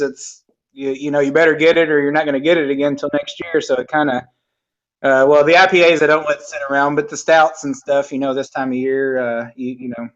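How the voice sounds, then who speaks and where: A man of forty talks about his hobby on a video call in an easygoing, halting way, often stopping on fillers. His voice is a bit high for a man, and the audio is dull. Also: a bit bright. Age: 40s. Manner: hesitant, full of fillers, easygoing. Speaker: guest. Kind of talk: casual video-call chat.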